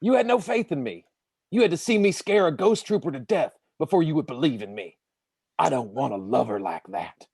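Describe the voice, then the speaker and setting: A man's voice: on the high side, very animated, fairly quick, darkish tone, slightly rough, no room sound, clean studio sound, heavily acted. A man playing a pompous, melodramatic hero with a lightsaber in a comic radio play, declaiming in mock-heroic style. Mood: wounded pride.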